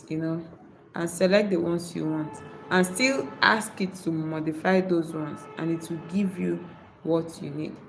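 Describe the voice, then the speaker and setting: Nigerian woman, English her second language, live-stream host, voice on the low side, reads out points and explains each. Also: slight background noise, low-quality recording. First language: not English. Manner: reads points aloud then explains them. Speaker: YouTube creator. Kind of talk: live-stream tips talk.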